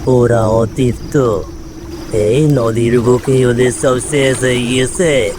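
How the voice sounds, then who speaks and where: A man voicing a calm, weary boatman in a ghost story, speaking in a slow, measured way. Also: mid-range voice, noisy background, lightly acted. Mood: calm, sombre.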